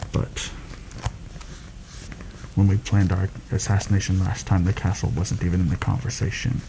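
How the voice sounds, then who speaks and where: A man playing a shrewd, secretive mercenary planner in a soft-spoken ASMR fantasy roleplay, confiding and deliberate in a slightly formal way. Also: flat delivery, noisy background. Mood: explaining, serious. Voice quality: low, gravelly, soft-spoken. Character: shrewd, secretive male mercenary plotting a royal assassination.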